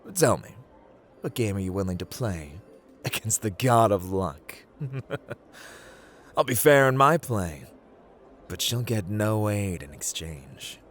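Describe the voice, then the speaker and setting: A man's voice: big pitch swings, slow pace, pauses often, slight background noise. A man playing a playful, haughty god of luck in an ASMR roleplay, theatrical and teasing, drawling his words as he addresses the listener. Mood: grand, challenging. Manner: theatrical, teasing, drawling, swinging between hushed and booming.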